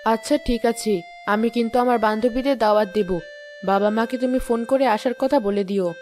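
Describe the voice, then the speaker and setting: A woman's voice: a little high, steady tone, moderate pace, a little mellow, soft background music, natural voice. A woman playing a demanding, nagging village chairman's wife in a moral-story cartoon, with an insistent, complaining manner. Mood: coaxing.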